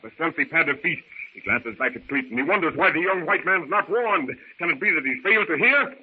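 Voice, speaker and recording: An actor serving as dramatic narrator of an old radio adventure serial, grave and suspenseful in theatrical style. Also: male voice, fairly high pitch, close to an everyday voice. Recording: tinny, hissy vintage radio recording.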